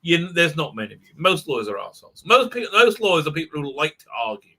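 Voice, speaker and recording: A man with a somewhat high, very bright voice hosts a casual online stream. He rambles, stops and restarts, with plenty of "uh" and "right?". He talks into a computer mic on a video call. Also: big pitch swings, fast talker. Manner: rambling, full of fillers and restarts. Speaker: livestream host. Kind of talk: casual chat.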